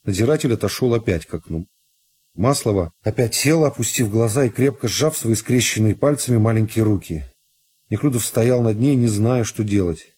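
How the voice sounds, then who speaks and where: A man's voice: fairly low pitch, moderate pace, mellow, slightly rough. A man reading scripted literary narration as the storyteller of a radio drama.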